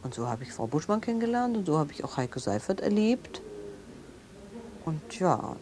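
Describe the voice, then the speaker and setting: A woman's voice: sits low, sing-song, normal speed, a little mellow. An actress playing a disapproving woman witness, rambling and conversational as she gives her account in a naturalistic radio drama. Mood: disapproving, puzzled. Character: disapproving woman witness recalling an overwrought acquaintance.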